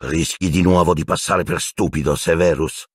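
A man voicing a desperate, haggard male escaped convict in a storybook reading, hoarse and urgently hissing. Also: deep voice, steady tone, normal speed, no echo, studio quality. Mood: defiant.

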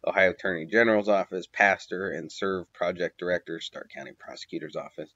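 A man narrating a video essay in an unscripted, halting way, with many fillers and long spoken lists. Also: low-pitched, pushed and bright, no echo, highs cut off. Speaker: commentator.

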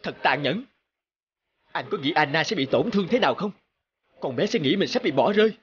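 A man's voice: a little high, lively intonation, fairly quick, highs cut off, a little hiss or hum, lightly acted. A man voicing a protective, distressed adoptive father in a cartoon, protesting with emotion. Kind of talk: animated cartoon dubbing.

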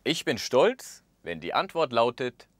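Man, thirties, language teacher, lively animated pitch, dry studio sound. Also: a little slow, dry recording. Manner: expressive, gesturing, acts out example phrases. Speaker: language course presenter. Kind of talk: scripted lesson narration. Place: studio with orange backdrop.

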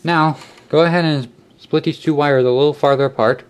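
A man's voice: fairly high pitch, fairly even intonation, a little fast. A man narrating a casual how-to demonstration step by step, in an instructional way, on a handheld camera. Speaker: host of a hobby electronics how-to video. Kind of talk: casual hands-on tutorial narration. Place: cluttered indoor workshop or utility room. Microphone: handheld camera microphone. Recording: clicks and clear background noise, handheld camera.

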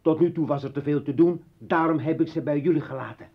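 A man's voice: a little high, fast, close to an everyday voice. An actor playing a bitter, armed revolutionary poet and father in a radio play, taunting and commanding. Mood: firm, insistent. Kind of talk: Dutch radio play acting. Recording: dull old radio recording.

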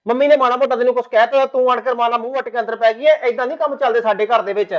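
A man's voice: extremely high pitch, steady tone, very fast. A man playing a young husband and son, reasoning and lecturing sternly. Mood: scolding. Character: young husband and son, torn between mother and wife. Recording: muffled lo-fi.

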